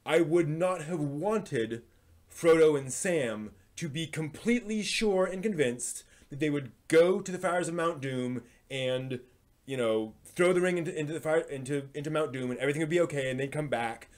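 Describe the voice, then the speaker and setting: A man's voice: fairly high pitch, moderate pace. A man hosting a live stream, talking casually and thinking aloud, often breaking off and rewording as he goes.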